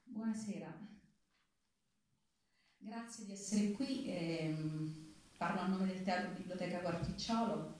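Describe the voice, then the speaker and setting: A woman with a fairly low voice welcomes a theatre audience. She speaks earnestly and haltingly, sounding emotional and slightly nervous. The recording is very echoey, with faint background noise. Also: recorded from a distance. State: moved, a little nervous. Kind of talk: unscripted welcome speech. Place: theatre, live event.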